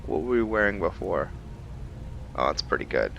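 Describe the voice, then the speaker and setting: A man hosting a gaming video, musing aloud in a relaxed way as he plays and comments on what he finds. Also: deep voice, normal speed, vocal fry, lo-fi sound, slight background noise. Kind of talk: casual game commentary.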